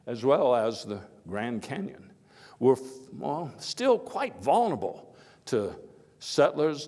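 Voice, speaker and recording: A man playing Theodore Roosevelt as a hearty, folksy elder statesman, giving a halting, oratorical first-person speech of reminiscence. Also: a little high. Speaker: historical reenactor giving a speech. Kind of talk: first-person dramatized historical speech, storytelling. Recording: echoey hall with long reverb.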